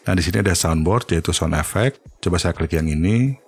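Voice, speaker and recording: A man giving an informal, step-by-step software tutorial. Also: deep voice, a little fast, breathy edge, faint music behind. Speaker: tutorial host.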